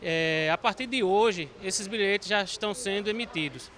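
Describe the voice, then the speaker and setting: A man's voice: high voice, moderate pace, fairly bright tone. A man interviewed outdoors for the news, explaining procedures and urging the public to act early. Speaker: bus terminal official.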